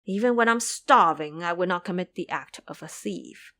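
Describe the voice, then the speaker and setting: A woman doing a gentle pious master monk. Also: lowish voice, moderate pace. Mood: pleading. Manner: pleading, earnest.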